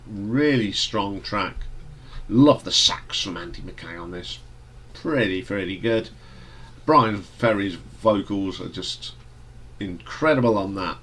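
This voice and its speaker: A man with a stuffy nose from hay fever, casually reviewing an album in a relaxed, rambling way with fillers and restarts. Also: fairly low pitch. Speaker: music reviewer.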